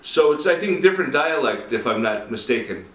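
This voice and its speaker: A man's voice: fairly high pitch, animated, a little fast, a crowd in the background. A man chatting casually, hesitating often with ums and restarts. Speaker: conversation partner. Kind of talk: casual conversation. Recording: echoey room.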